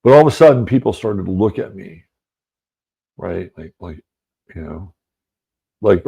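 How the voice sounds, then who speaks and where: A middle-aged man hosts an astrology talk show and tells his story in a loose, wandering way. His voice sits low and gravelly, with a husky, mellow tone. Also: rough recording. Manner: rambling, conversational, many fillers and asides. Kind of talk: casual storytelling monologue.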